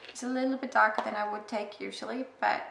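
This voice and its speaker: A woman's voice: a little high, fairly even intonation, fast talker, gravelly, fairly bright tone. A young woman with an Icelandic accent, English her second language, talking casually through a makeup tutorial with chatty, self-deprecating asides. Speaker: makeup vlogger. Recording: echoey room with faint hiss.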